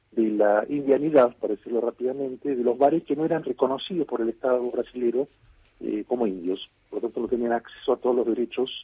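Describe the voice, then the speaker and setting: A man's voice: medium pitch, vocal fry, breathy edge, no room sound. A man with an Argentine accent, a writer answering questions on the radio, rambling with many fillers and restarts. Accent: Argentine Spanish. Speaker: guest writer. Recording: tinny phone-like line.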